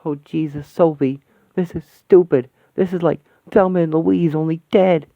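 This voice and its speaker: A man voicing a brash, blunt middle-aged woman who wisecracks loudly. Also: fairly high pitch, steady tone, normal speed, creaky, no echo. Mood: scornful. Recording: dry tinny phone-like sound.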